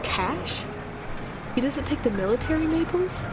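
A woman's voice: high voice, lively intonation, measured pace. A woman playing a teasing, foul-mouthed nighttime radio host in an audio drama, informal and prodding. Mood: curious. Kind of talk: audio drama radio interview, casual banter. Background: loud music.

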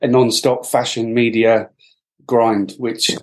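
A man's voice: medium pitch, level tone, slow, slight background noise. A middle-aged man from Wales, a podcast guest, talking reflectively with many fillers and restarts. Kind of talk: reflective interview talk.

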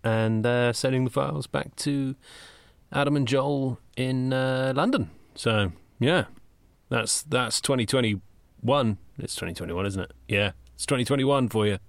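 A man talking casually and haltingly about his work as a voice actor, recording inside a padded wardrobe. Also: mid-range voice, gravelly, no echo. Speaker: voice actor in an audio fiction podcast. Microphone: handheld digital recorder.

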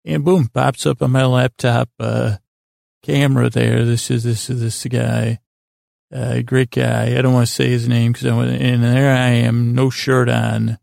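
A man with a heavily creaky, gravelly voice hosts a bedtime storytelling podcast. He meanders and halts as he goes, gently silly and self-deprecating. The recording is dry and close, made in a small closet. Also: mid-range voice, level tone, measured pace, dry recording. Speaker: podcast host.

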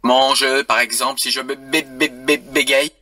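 A man presents a short demonstration to viewers. His voice is extremely bright and forward, with a hard, sharp edge at an average pitch. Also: fairly even intonation, normal speed. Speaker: video presenter. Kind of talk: demonstration clip talk to viewers.

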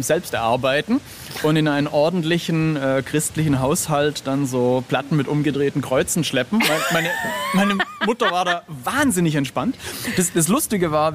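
A middle-aged man talking casually as an interview guest, enthusiastic like a devoted fan and often pausing mid-thought. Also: fairly high pitch, slight background noise. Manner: enthusiastic fan talk, halting. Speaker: interview guest, artist and author. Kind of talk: casual chat.

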